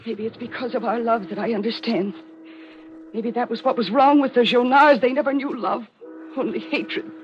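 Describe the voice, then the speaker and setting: A woman's voice: a little high, moderate pace, lightly acted. A woman acting in a 1940s radio mystery as a haunted, fatalistic young American woman, intense and melodramatic. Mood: mournful. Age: young adult. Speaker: radio actor. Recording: muffled old radio recording.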